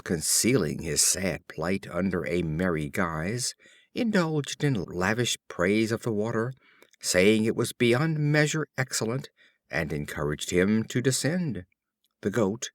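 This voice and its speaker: A man reading fables as an audiobook, expressive, deliberate and theatrical in his storytelling. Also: fairly low pitch, no echo, clean studio sound. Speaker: audiobook reader.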